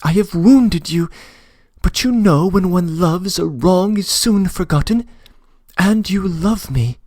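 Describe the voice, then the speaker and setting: A man's voice: high-pitched, expressive, slow pace, darkish tone, no echo, studio quality, pitched above the performer's own voice, exaggerated voice. A man reading aloud, voicing a young woman's tearful, loving letter with an imploring manner. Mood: tender, earnest. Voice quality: emotional, imploring. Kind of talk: audiobook reading.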